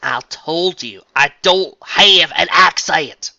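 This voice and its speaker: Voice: medium pitch, takes it slowly, creaky, pushed and bright, dry recording, highs cut off, pitched above the performer's own voice, heavily acted. A narrator voicing a plain-spoken, stubborn young female farm pony with a folksy way of talking. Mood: indignant. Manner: folksy, rustic country talk.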